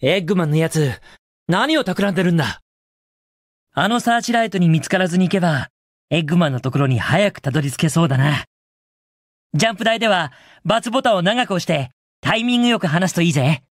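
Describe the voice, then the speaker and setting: Casting: cool, cocky young male hedgehog hero for a video game. Brash, confident, highly animated intonation, dry studio sound. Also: no echo. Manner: confident, casual, brash delivery. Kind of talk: Japanese video game voice acting, short clips.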